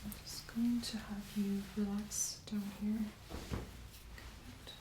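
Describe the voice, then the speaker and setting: Soft-spoken woman in a roomy, hissy recording. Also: mid-range voice, flat delivery. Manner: gentle, unhurried questioning and step-by-step instructions. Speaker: ASMR creator playing a doctor doing a medical exam. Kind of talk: soft-spoken ASMR medical roleplay. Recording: roomy echo, clear background hiss.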